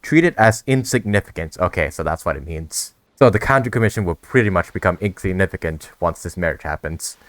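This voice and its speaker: A man's voice: low-pitched, lively intonation, brisk, hardly any pauses, no echo. A young man giving casual, slangy, bemused commentary as he plays through a video game. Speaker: gamer, video creator.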